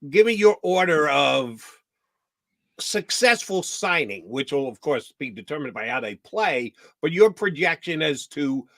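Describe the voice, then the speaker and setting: Male sports talk host, bright tone, dry close sound, jokey and long-winded. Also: a little high, sing-song, a little slow, no echo. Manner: wisecracking, ribbing the guest, then long rambling questions.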